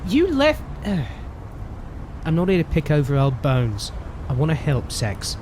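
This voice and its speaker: A woman's voice: low voice, huge pitch swings, a little slow, background noise, exaggerated voice. A woman playing a tough, dry, weary adventurer with a sardonic manner in a comic dub.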